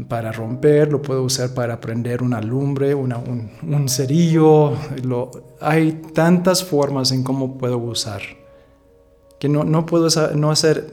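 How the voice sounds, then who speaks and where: A man's voice: mid-range voice, darkish tone. A man whose first language is English, talking in a casual, rambling and thoughtful way as a podcast host. He often restarts his sentences and chuckles now and then. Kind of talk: casual reflective monologue.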